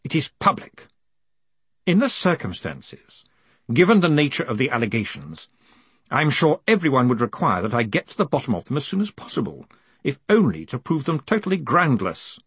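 A man voicing a calm, quietly commanding ruler with measured, formal, icily polite speech in an audiobook. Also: medium pitch, breathy edge, dry recording, voice pushed up, exaggerated voice. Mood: calm, pressing. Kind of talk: audiobook character acting.

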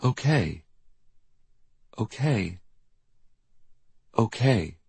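A man's voice: medium pitch, sing-song, moderate pace, darkish tone, slightly rough, dry recording, pitched above the performer's own voice, full character voice. A man voicing an anxious, tearful mother who frets and protests about her missing son in an audiobook story. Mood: suppressed panic.

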